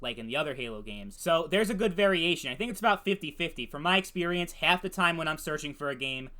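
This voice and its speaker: Blunt Brooklyn guy, bright voice. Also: fairly high pitch, animated, quick pace. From United States. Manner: blunt, opinionated, frequent cursing. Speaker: gaming YouTuber. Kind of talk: casual gameplay commentary.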